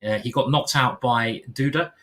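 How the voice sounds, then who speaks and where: Bright-voiced English man commentating chess. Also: mid-range voice, normal speed. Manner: playful, chatty, many fillers and restarts.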